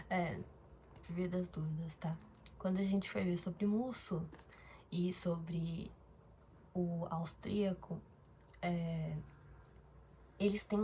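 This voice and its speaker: A woman's voice: lowish voice, warm tone, a little hiss or hum. A young woman from Brazil talking casually in a diary-style vlog, rambling, with fillers and self-corrections. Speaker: vlogger.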